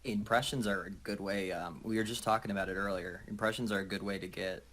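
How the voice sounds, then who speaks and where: A man's voice: fairly low pitch, fairly even intonation, quick pace, fairly bright tone, dry recording. A man talking casually in a conversational way. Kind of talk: casual chat.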